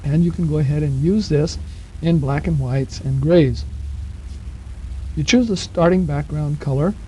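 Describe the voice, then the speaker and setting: A man with a very mellow, dark voice pitched a little high narrates instructions step by step. He is plain-spoken and patient as he explains. The sound is that of a muffled 1970s cassette tape with faint hiss. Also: a little slow. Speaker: instructor narrating a program manual.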